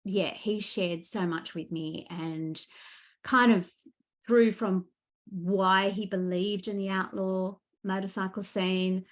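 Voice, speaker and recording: A woman with an Australian accent speaking as a guest author in a casual interview, animated and enthusiastic as she tells her stories. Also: medium pitch, slow pace.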